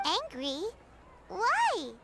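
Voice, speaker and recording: Tiny squeaky, wildly animated fairy companion. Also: female voice, drawn-out words, heavy background noise, heavily acted. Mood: amazed, alarmed. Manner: squeaky, cheeky, exclamatory. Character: tiny childlike fairy companion, blunt and excitable. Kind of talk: video game voice acting. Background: prominent game music.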